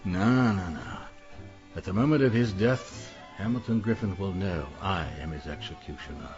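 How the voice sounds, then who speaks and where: An actor playing a genteel, scheming British publisher in a radio mystery, speaking in an urbane, theatrical, condescending drawl. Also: male voice, sits low, expressive, moderate pace, a little mellow. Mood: cold resolve. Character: genteel, scheming widowed male publisher, calmly plotting murder. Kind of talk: radio mystery drama acting. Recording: dull band-limited audio with music.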